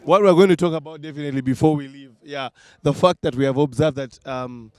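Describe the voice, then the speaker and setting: Male podcast host from Uganda, smooth and dry, easygoing chat. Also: fairly high pitch, fast talker, no echo. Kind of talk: casual panel chat.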